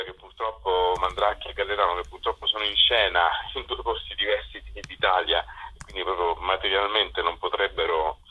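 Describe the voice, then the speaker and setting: Male interview guest: low, raspy, hard-edged voice, halting explanatory delivery. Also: phone-quality sound. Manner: halting, self-correcting, explanatory. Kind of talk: conversational interview answers.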